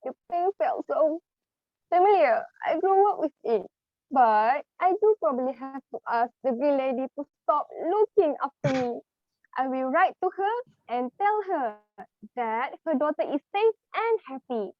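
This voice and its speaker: A woman plays a gentle girl carrying grief, speaking earnestly and with resolve. Her voice is high. The dramatic reading is recorded very dry, with a dulled, low-fidelity sound. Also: animated, a little slow, no room sound. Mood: sad, resolute. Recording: dry but muffled low-fidelity audio.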